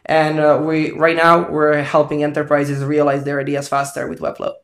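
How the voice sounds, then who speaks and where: A man's voice: fairly high pitch, monotone, moderate pace, creaky, fairly bright tone, clear, resonant tone. A young man with a Serbian accent, a podcast guest chatting casually in a studio on a sofa, talking with many fillers and restarts into a close mic. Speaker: interview guest. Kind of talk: casual conversation. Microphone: handheld dynamic mic on a boom arm.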